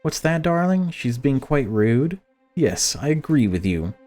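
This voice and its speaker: A man's voice: medium pitch, sing-song, measured pace, a little mellow, faint music behind, voice pushed up. A man voicing a deluded, self-pitying mother who dotes and snaps, reading visual novel dialogue aloud. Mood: indignant.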